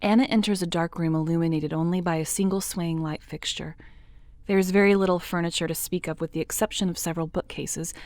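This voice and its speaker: Woman narrator with a low, dry voice. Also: dry recording. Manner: lively, expressive reading. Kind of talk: scripted storybook narration.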